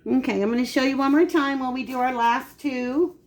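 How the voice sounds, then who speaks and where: A woman hosting a home cooking video, her voice dry and raspy today, chatting casually as she explains each step. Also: high voice, a little fast. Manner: chatty, explains steps while working. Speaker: cooking channel host. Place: home kitchen.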